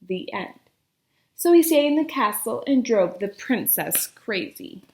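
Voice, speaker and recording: High-voiced woman reading a storybook, echoey. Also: sing-song, unhurried, slight background noise. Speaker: storyteller reading a children's book aloud. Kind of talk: expressive storybook narration.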